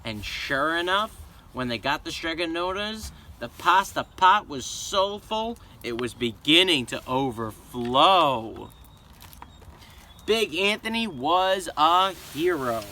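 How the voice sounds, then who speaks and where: Guy reading a kids book out loud, highish lively voice, real dramatic, bit of background noise. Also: slow. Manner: expressive, dramatic. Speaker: storybook reader. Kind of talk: children's read-aloud narration.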